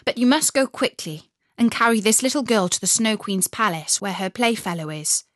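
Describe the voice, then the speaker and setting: A woman's voice: mid-range voice, animated, moderate pace, a bit bright, no room sound, clean studio sound, lightly acted. A woman voicing a fierce, bossy little robber girl in a storybook reading. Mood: commanding. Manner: bossy, threatening.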